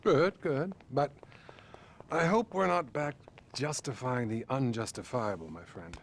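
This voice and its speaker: An actor playing a patronising, bluntly judgmental male therapist in a video game, measured and condescending. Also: medium pitch, a little hiss or hum, close to an everyday voice. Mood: patronising concern. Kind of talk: video game cutscene acting.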